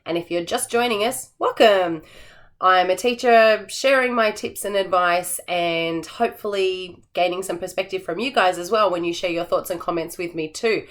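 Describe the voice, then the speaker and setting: An Australian woman, an assistant principal, sharing teaching tips in a chatty, practical way, her voice croaky and tired. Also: fairly low pitch, moderate pace. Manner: chatty, practical, with frequent fillers. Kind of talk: teaching tips video, casual explanation. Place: classroom.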